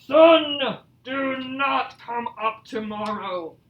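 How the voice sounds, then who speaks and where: A big boastful bear from a children's storybook, put on by a woman, proclaiming every word slowly and stubbornly. The voice is loud and emphatic in an echoey room. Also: sits high, big pitch swings, highs cut off, full character voice. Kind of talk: children's storybook read-aloud.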